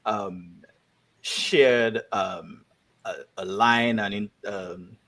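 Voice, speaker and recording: A man's voice: on the low side, steady tone, very slow, vocal fry, fairly bright tone. A man interviewing an author over a video call, hesitant and full of ums and restarts. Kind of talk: online interview. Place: home in Texas.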